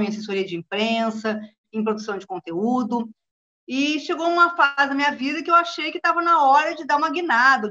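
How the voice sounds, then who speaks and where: A middle-aged Brazilian woman speaks as a guest in an online interview. Her voice is fairly high and she tells her own story casually and conversationally. The call audio is dull and lo-fi. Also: a bit bright. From Rio Grande do Sul, southern Brazil. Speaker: guest, journalist. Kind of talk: casual personal account in an interview.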